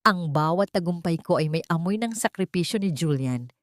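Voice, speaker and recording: A Filipino woman narrates a scripted drama in the first person. Her voice sits low and is recorded dry, with no room around it. The delivery is solemn and deliberate, with theatrical weight. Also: expressive, dry recording. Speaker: audiobook narrator.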